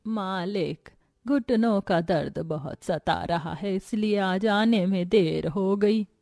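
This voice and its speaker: A woman's voice: medium pitch, takes it slowly, darkish tone, dry recording, clean studio sound. A woman voicing a humble, weary old grandmother in her seventies, plaintive and folksy, in a cartoon story. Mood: pleading, weary. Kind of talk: Hindi cartoon story voice acting.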